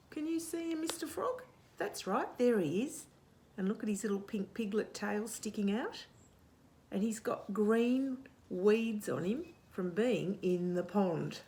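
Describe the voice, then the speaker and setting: Animated woman telling a children's story slowly. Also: medium pitch, gravelly, slight background noise. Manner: animated, expressive, asks questions and pauses for answers. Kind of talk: read-aloud picture-book storytelling with chatty asides to young children.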